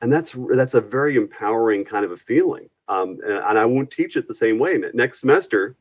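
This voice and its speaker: A man's voice: mid-range voice, animated, a little fast. A man speaking as an instructor in a conversational interview, earnest and given to acting out little quotes as he explains. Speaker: university physics and astronomy instructor, guest.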